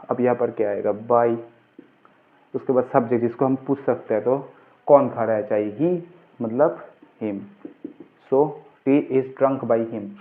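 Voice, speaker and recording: Tinny narrow-band recording, male tutor, even pitch, instructive question-and-answer explaining. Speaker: English grammar teacher. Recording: muffled, phone-like, narrow-band sound.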